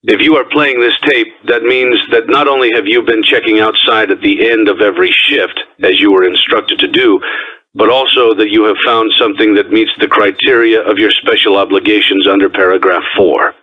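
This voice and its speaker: Through a tinny, phone-like cassette filter comes a calm, ominous businessman in a horror game. His voice is lowish and husky. He delivers procedural instructions formally and deadpan, in measured phrases. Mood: flat, procedural. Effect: old cassette tape, radio-like filter. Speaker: instructional tape narrator. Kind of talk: scripted instructional tape narration. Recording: tinny, phone-like old tape recording.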